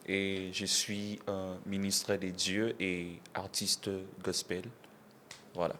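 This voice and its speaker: A young man from Kinshasa, a gospel artist answering interview questions in a measured way, often saying voilà. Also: low-pitched, level tone, bright, forceful tone, slight background noise. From Kinshasa, DR Congo. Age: young adult.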